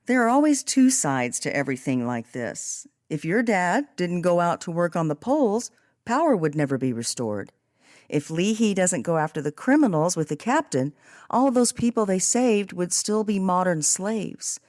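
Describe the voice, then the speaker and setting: A woman hosting a podcast, discussing a book in a reflective, expressive and emphatic way. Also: on the low side, moderate pace, no echo, clean studio sound. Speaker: podcast host.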